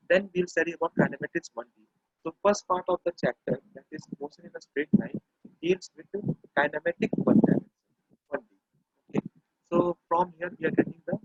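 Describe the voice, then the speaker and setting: A man's voice: fairly high pitch, gravelly, breathy edge, no echo. A man teaching a physics lesson in an online class, repeating key phrases and checking in with "okay" often. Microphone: computer or phone mic over internet call. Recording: dry, muffled lo-fi online class audio.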